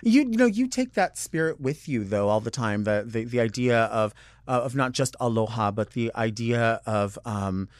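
A man's voice: medium pitch, expressive, normal speed, no echo. A man hosting a casual talk show, campy and playful in manner. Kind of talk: casual chat.

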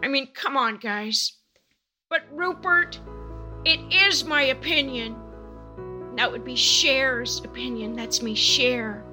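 A woman's voice: sits high, slow pace, bright, forceful tone, exaggerated voice. A woman playing a brazen, self-absorbed diva pop goddess in a campy comic radio play, theatrical and blasé. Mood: grandiose. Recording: music under the voice.

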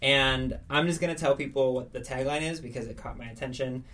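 Guy cohosting a podcast, average pitch, casual and into what he's recommending. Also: fairly quick, a bit bright. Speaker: podcast co-host. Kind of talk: casual book chat.

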